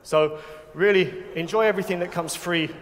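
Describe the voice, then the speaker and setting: A man's voice: sits high, moderate pace, slight background noise. An English man hosting an awards gala with a joking, self-mocking, chatty manner. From England. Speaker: awards host. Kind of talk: comic stage hosting. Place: large banquet hall with audience. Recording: long hall reverb.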